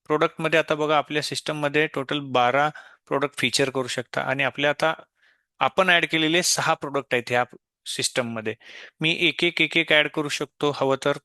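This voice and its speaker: Male software demo presenter, Maharashtrian accent. Forward, bright tone, unscripted step-by-step explaining, very dry room. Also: fairly high pitch, steady tone, moderate pace, no room sound. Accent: Indian, Maharashtrian. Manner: explanatory, step by step, mixing in English tech terms. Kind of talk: unscripted software tutorial walkthrough.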